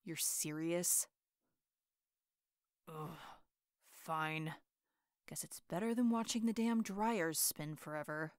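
A woman puts on a short-fused teenage boy hero for an audio roleplay, all swearing and bragging. The voice sits low and gruff, and everything is snapped out abrasively. It is recorded close and dry, with no room sound. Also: slow pace, pauses often, dry recording. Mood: grumbling. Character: brash hot-tempered teenage boy hero.

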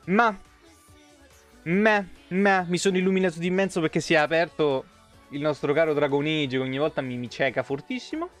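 A high-voiced man in his thirties streams from a room at home. He talks casually and rambles, with plenty of swearing. He sits close to the microphone, with faint music behind him. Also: fairly even intonation, normal speed. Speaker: game streamer. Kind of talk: casual live stream chat. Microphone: close desk microphone on an arm.

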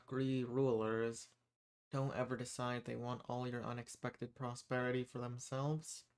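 A man voicing an arrogant, menacing archdemon in a dramatic audio roleplay, speaking in a condescending, theatrical, unhurried manner. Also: medium pitch, a little mellow, clean tone, natural voice. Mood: quietly threatening. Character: arrogant, menacing male archdemon who strikes bargains.

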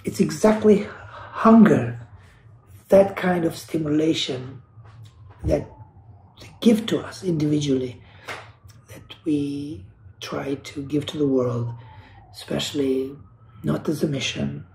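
A man's voice: fairly high pitch, takes it slowly, gravelly, pauses often, darkish tone, a little hiss or hum. Earnest man in a roomy room. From Kosovo. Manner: earnest, searching, with frequent restarts and repeated words. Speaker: artist panelist. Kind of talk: reflective panel talk.

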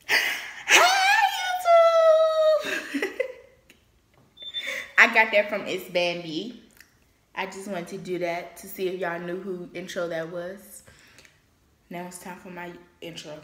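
A young woman chatting casually to camera in an excitable, informal, slangy way, as the host of a home challenge vlog. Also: medium pitch. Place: small room at home, indoors. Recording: roomy echo, noisy home laptop recording.

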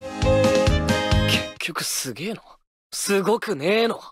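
An actor playing a cocky, rough-talking, scornful teenage baseball ace in an anime-style game. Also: male voice, high voice, wildly swooping pitch, slow pace, heavily acted. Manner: brash, scornful. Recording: loud game music behind the voice.

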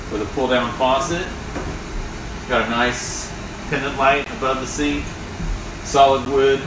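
A man's voice: mid-range voice, far from the mic. Off-mic man touring a tiny house. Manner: relaxed, plainspoken, pointing out features. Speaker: tiny home builder giving a tour. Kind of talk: casual walkthrough tour. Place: inside a small empty tiny house. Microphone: camera held by another person. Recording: roomy, off-mic with loud background hum.

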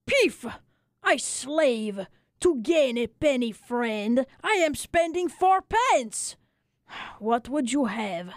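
A woman voicing a small old Frenchman, a weary, talkative barber of wry philosophy, exclaiming and lamenting in drawn-out phrases for a storybook reading. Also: high-pitched, big pitch swings, no room sound, clean studio sound, exaggerated voice. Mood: exasperated.

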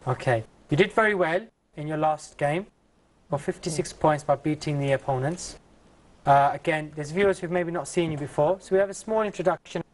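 A man's voice: fairly high pitch, fairly quick, low-quality recording. A man in his thirties hosting a TV quiz show in a studio, friendly and upbeat, on a lapel mic.

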